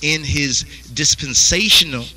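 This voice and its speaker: Bright-voiced man teaching Bible study. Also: fairly high pitch, a little slow, slight background noise, poor audio. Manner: repeats "you understand?" constantly.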